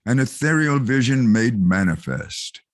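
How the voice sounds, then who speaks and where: Slow, expressive male narrator. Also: mid-range voice, no echo, clean studio sound. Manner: measured, theatrical. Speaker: narrator reading stage directions. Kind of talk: scripted dramatic narration.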